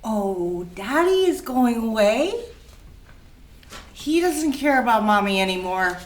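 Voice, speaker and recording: A woman's voice: extremely high pitch, animated, takes it slowly, fairly bright tone, roomy sound, a little hiss or hum, full character voice. A woman playing a bitter, volatile, wounded mother in a stage play reading, scornful and foul-mouthed. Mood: bitter, brittle. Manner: scornful, lashing out.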